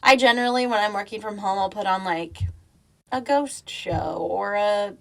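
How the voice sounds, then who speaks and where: Gravelly-voiced woman podcast co-host. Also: mid-range voice, normal speed, a bit bright. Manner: chatty, teasing, laughs often. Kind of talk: casual chat.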